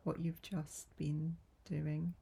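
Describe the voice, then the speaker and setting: A woman guiding a yoga nidra relaxation in a soft, breathy, soothing voice, gentle and unhurried with long pauses. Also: deep voice, flat delivery. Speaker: yoga nidra meditation guide. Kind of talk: guided relaxation meditation.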